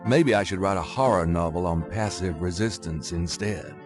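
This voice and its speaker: A man's voice: low voice, moderate pace, over music, close to an everyday voice. A man playing a smug, drawling womanizer. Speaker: novelist character in a game.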